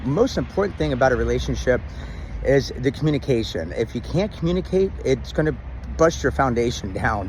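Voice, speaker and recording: A middle-aged man with a loud, dominant voice gives relationship advice to camera in a loose, rambling way, with plenty of ums and restarts. His pitch sits right in the middle for a man. He records outdoors, with faint car and street noise behind him. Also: low-quality recording. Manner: says he has a loud, dominant voice. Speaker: vlogger giving relationship advice. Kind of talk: casual talk to camera.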